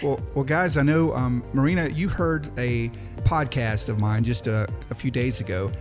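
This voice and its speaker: A man's voice: medium pitch, normal speed. A man hosting a podcast, chatting casually and easygoing, with plenty of fillers. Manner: relaxed, filler-heavy, friendly. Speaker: podcast host. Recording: music playing underneath.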